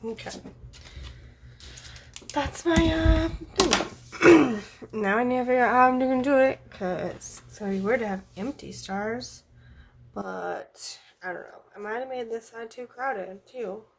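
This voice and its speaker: A woman with a froggy, hoarse voice chatting casually as she decorates a planner, thinking aloud and counting under her breath. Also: on the high side, a little slow, slight background noise. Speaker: planner vlogger. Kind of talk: casual talk while drawing a journal spread.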